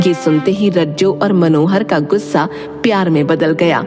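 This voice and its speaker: A woman's voice: on the low side, normal speed, over music. A woman narrating a scripted dramatic tale as the storyteller.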